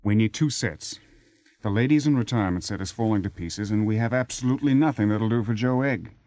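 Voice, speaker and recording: An actor in a 1980s radio drama playing a cheeky, grousing, freeloading theater director with a brash manner. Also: male voice, fairly low pitch, a little fast, mellow, dry recording, close to an everyday voice. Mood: pushy. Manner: brash, complaining.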